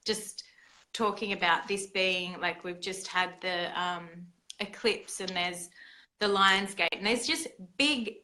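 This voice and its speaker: A woman hosting a casual conversation, soft-spoken and hesitant, with many fillers. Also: medium pitch, slow, rough recording. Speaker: host.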